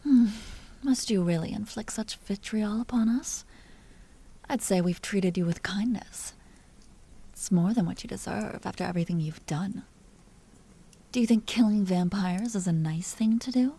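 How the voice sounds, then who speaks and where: A woman playing an elegant vampire duchess in an audio roleplay, speaking softly with formal, languid, teasing courtesy and an amused, predatory air. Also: medium pitch, moderate pace, breathy edge. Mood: amused, taunting. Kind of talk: dramatic roleplay audio performance.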